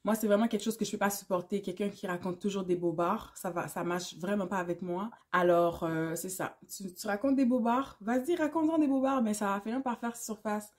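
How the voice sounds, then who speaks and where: A woman's voice: mid-range voice, fairly quick, few pauses, a little mellow. A woman in her thirties from Canada with a Québécois French accent, talking casually to camera in a vlog and mixing English words into her speech. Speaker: YouTuber.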